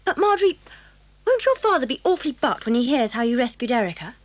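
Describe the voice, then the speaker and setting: Lively swooping pitch, kindly English boarding-school girl, eager and chirpy, children's audio drama. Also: quick pace.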